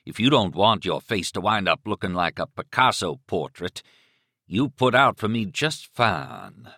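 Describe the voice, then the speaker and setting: A man's voice: lowish voice, very animated, a little slow, sharp tone, dry recording, studio quality, heavily acted. A man voicing a menacing male killer who taunts and commands in coaxing, sadistic slang. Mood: coldly coaxing.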